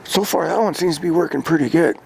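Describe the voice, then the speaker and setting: An American man with a gravelly voice, talking casually and informally as a hobbyist vlogger. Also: a little high, fast, noisy background. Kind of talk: casual hands-on gear talk.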